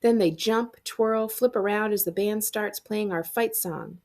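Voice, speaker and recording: A woman with a noticeably creaky voice at a medium pitch reads a novel for young readers aloud. She delivers it as an expressive librarian's read-aloud, acting out the characters' words. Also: measured pace. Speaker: librarian reading a book aloud.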